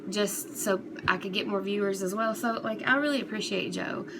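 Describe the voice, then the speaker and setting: A woman's voice: mid-range voice, moderate pace. A woman giving casual, unscripted opinion commentary as a vlogger, talking in a hesitant, rambling, conversational way. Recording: clearly noisy background ambience.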